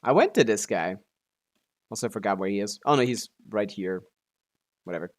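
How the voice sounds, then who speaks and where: A man's voice: lowish voice, expressive, fairly quick. A man giving casual, offhand live commentary as a game streamer, muttering to himself and swearing freely.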